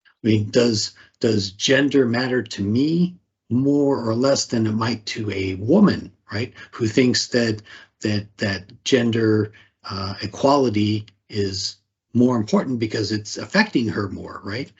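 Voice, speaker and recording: A man's voice: fairly low pitch, unhurried, slightly rough, highs cut off. A man leading a talk on privacy, posing rhetorical questions and often tagging them with "right?". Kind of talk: conversational presentation.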